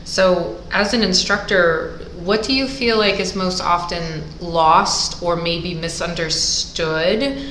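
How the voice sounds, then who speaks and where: A woman's voice: fairly low pitch, slow, fairly bright tone. A woman hosting an interview, friendly and effusive in her introductions. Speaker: interview host. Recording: echoey room with faint noise.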